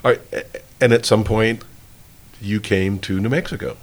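A man with a deep voice hosts a casual interview. He wanders through his questions in a folksy, rambling and self-deprecating manner. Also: normal speed. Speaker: interview host.

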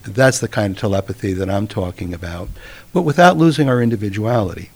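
A middle-aged man with a Northeastern US accent and a fairly low voice speaks as an interview guest. He explains his thoughts in a measured, halting way, reflecting as he goes. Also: lively intonation, darkish tone, slightly rough. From Brooklyn, New York, USA. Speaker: interview guest, author and former physician.

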